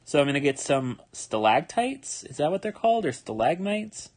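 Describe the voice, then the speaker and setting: A tired young man with a relaxed, rambling manner, talking casually with many fillers as he draws live and explains his steps. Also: on the high side, moderate pace. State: tired, yawning. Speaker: manga artist streaming his drawing. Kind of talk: casual livestream commentary while drawing.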